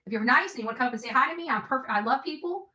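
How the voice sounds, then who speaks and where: A woman host with a fairly high, bright and forceful voice talks casually to her viewers. She is blunt and emphatic, often repeating a phrase to drive it home. The room around her is noticeably echoey. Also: expressive, racing. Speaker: host of her own online show. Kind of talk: casual storytelling commentary to viewers.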